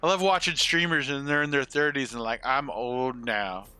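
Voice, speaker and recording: A man's voice: medium pitch, moderate pace, sharp tone, smooth, no echo. A man in his forties chatting casually and rambling with some salty swearing as he streams a game. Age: 40s. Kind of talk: casual live-stream chat with gameplay commentary.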